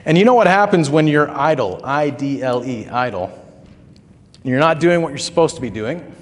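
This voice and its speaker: A man preaching a sermon as pastor, his throat still a bit hoarse, telling stories in a relaxed, conversational way with frequent fillers. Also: on the high side, normal speed, long reverb tail. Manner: conversational, folksy storytelling with filler words. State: recovering throat, slightly hoarse.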